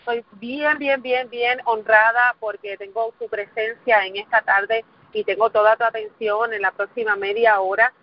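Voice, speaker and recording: A woman's voice: fairly high pitch, fairly even intonation, measured pace, dry recording, dull audio. A Puerto Rican woman in her forties giving a motivational presentation, earnest and often repeating words for emphasis while asking the audience questions. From Puerto Rico. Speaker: motivational speaker.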